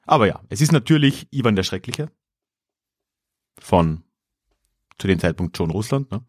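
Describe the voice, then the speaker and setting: Low-voiced, animated male quiz host. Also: normal speed, dry recording, clean studio sound. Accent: South Slavic-influenced pronunciation of foreign names. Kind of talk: casual podcast quiz moderation.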